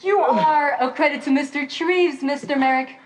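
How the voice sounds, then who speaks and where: A young woman playing a stately, gracious princess in a high school stage play, with measured, formal delivery. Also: natural voice. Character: Princess Alexandra, stately, gracious royal lady. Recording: faint background noise.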